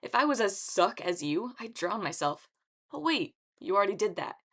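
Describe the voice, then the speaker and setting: A woman's voice: medium pitch, sing-song, normal speed, a bit bright. A woman voicing a brash, boastful, wisecracking teenage boy hero in an audiobook. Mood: brash boasting. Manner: boastful, swaggering. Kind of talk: audiobook character acting.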